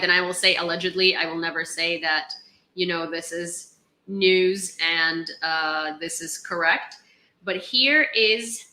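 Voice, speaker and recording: A woman's voice: mid-range voice, sharp tone, reverberant, low-quality recording. A young woman from Ukraine hosting a casual news live stream, reading headlines and chatting with viewers. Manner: chatty, laughs often, reads headlines aloud.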